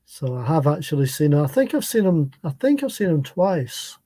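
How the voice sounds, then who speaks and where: A man's voice: on the high side, big pitch swings, moderate pace, warm tone, no room sound. A man from Scotland living in England, chatting easily on a video call and often repeating himself. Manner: easygoing, repeats himself, agreeable. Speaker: guest. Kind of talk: casual chat.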